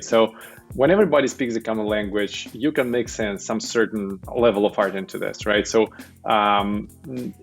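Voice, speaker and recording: A man's voice: lowish voice, vocal fry, husky. A man speaking as a panel guest, conversational, with hesitant phrasing and frequent tag questions seeking agreement. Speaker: panel guest, product management director.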